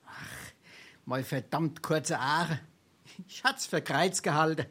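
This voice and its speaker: A man's voice: on the high side, takes it slowly, pushed and bright, breathy edge, exaggerated voice. A man playing a cheeky, penniless card-playing freeloader in a Darmstadt Hessian dialect stage comedy, theatrical, wily and grandiloquent. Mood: evasive.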